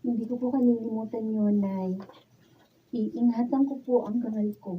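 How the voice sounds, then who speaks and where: A Filipino woman playing a dutiful young student daughter in a radio drama, with a husky voice. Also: on the high side, steady tone, normal speed, slight background noise, natural voice. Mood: earnest. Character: dutiful, modest young female scholar, devoted daughter. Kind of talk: Tagalog radio drama acting. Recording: muffled studio camera sound.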